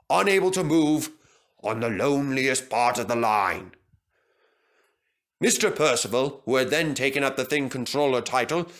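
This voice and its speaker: Male children's story reader: measured pace, lively pitch movement, expressive narration.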